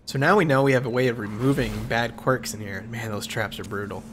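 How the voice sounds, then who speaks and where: A man's voice: medium pitch, brisk, noisy background. A man with a cold and a congested voice, hosting casual let's play commentary and thinking aloud as he plays. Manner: relaxed, thinking aloud with fillers.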